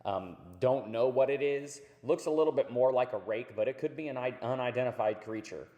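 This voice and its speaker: Hoarse, raspy man with tunnel-like echo. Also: mid-range voice, fairly quick, warm tone. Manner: rambling, laid-back, says dude and man. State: hoarse after illness. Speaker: channel host. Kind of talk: casual commentary on clips.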